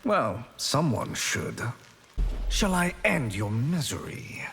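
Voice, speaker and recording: A man's voice: mid-range voice, sing-song, slow pace, a bit bright, soft background music, exaggerated voice. A man voicing a smug, amoral sorcerer villain in a video game, formal and mocking in manner. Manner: mocking, smooth-tongued, formal. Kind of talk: video game fighting character dialogue.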